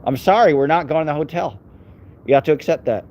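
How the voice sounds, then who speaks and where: A man's voice: fairly high pitch, expressive, fast, a little mellow, muffled recording. A man walking his dog outdoors on city streets, chatting casually to his live-stream viewers and his dog in a rambling way.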